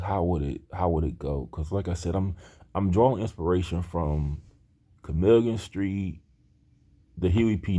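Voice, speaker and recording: A man's voice: low-pitched, mellow, highs cut off. A man in his thirties talking in a rambling personal monologue, earnest and hesitant, with many restarts. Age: 30s. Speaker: aspiring screenwriter talking to camera.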